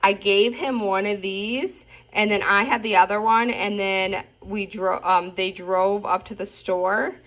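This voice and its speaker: A woman's voice: mid-range voice, steady tone, measured pace, hardly any pauses, a bit bright, rough recording. A woman talking informally and enthusiastically in an unscripted, chatty product review and demonstration.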